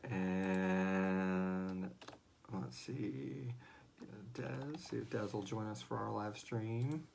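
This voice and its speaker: Gravelly, jokey man hosting a livestream. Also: fairly low pitch, extremely slow, a little mellow, a little hiss or hum. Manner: jokey, rambling, mutters while setting up. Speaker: host and co-creator of a horror audio drama. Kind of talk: casual livestream Q&A chat.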